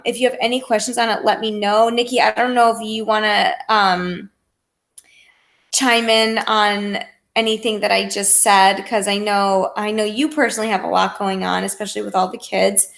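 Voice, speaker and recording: Creaky-voiced American woman coaching casually. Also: mid-range voice, steady tone, normal speed, a bit bright. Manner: conversational, encouraging, informal. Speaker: fitness coach leading a group coaching call. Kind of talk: casual motivational coaching talk.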